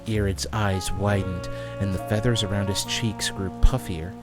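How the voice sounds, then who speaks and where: A man's voice: fairly low pitch, steady tone, normal speed, music in the background. A man reading scripted fantasy story narration as the narrator of an audio drama.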